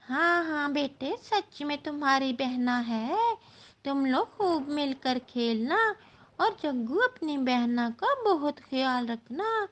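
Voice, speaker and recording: A woman's voice: sits high, animated, takes it slowly. A woman voicing a bossy, brusque crow aunt with a motherly side in a cartoon story.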